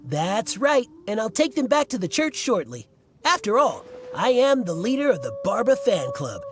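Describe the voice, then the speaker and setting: A man's voice: high voice, sing-song, normal speed, faint music behind. A man voicing an earnest, fussy, hesitant young fan club leader in a video game. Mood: earnest, eager. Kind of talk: video game character dialogue.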